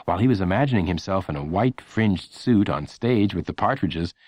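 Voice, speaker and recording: Male narrator for a children's book: low register, lively intonation, measured delivery, dry studio sound. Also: no room sound. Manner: expressive, measured storytelling. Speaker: audiobook narrator. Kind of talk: scripted children's story narration.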